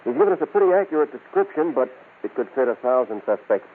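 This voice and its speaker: Dutiful sergeant on tinny old radio. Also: male voice, medium pitch, big pitch swings, quick pace. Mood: earnest, reporting. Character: dutiful, factual male police sergeant. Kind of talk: old-time radio crime drama acting. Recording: tinny old radio recording, light hiss.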